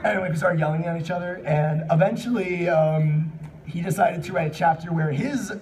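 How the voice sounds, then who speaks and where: A man casually hosting a live convention panel, chatting in an animated, jokey, informal way. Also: a little high, normal speed. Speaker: panel host. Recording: distant mic in noisy convention room.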